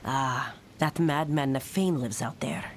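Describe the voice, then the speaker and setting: A woman playing a blunt, resentful knight commander in a fantasy video game, with a gruff manner. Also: a bass voice, a little slow, slight background noise. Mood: bitter, dismissive. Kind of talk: dramatic video game dialogue. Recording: music under the voice.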